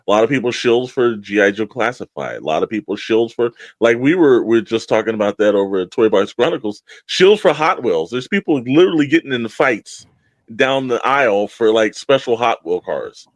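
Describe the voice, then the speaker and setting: A man's voice: medium pitch, lively intonation, brisk, dry recording. A man co-hosting a podcast in casual discussion, rambling and opinionated, with an emphatic way of talking. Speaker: podcast co-host.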